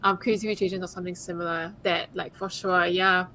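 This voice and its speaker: A woman's voice: fairly low pitch, flat delivery, brisk, sharp tone, a little hiss or hum. A woman from Singapore speaking earnestly as a guest on a live panel discussion, with frequent "like" and "um" fillers. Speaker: guest speaker, consultant and advocate.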